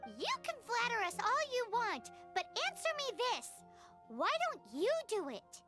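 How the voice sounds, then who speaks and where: A tiny childlike fairy companion from an anime game. The voice is extremely high and squeaky, swinging up and down with cheeky bluntness. Cheerful music plays prominently behind it. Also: female voice, huge pitch swings, unhurried, heavily acted. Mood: suspicious, cheeky. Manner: refers to self in third person, blunt. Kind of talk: English anime game voice acting.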